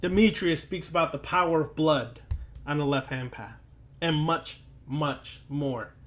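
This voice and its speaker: A man with a notably high-pitched voice delivers an impromptu, sermon-like lecture. He speaks slowly and addresses the listener directly, admonishing and preachy. He leans on emphatic repetition of words and phrases. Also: a bit bright, faint music behind. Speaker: occult lecturer and teacher.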